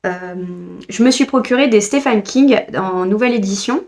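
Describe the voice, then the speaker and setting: A woman's voice: a little high, moderate pace, a little mellow. A French woman vlogger chatting casually about books she bought, hesitant with frequent fillers and restarts. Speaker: book vlogger. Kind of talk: casual book haul chat.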